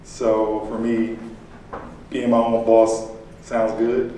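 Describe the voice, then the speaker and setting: A man in his late twenties from Illinois, a contractor giving a casual personal pitch to a live audience, relaxed and conversational with frequent fillers. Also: slow, distant mic, slight background noise. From Illinois, USA. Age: late 20s. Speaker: contractor and aspiring entrepreneur. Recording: echoey room, picked up from afar.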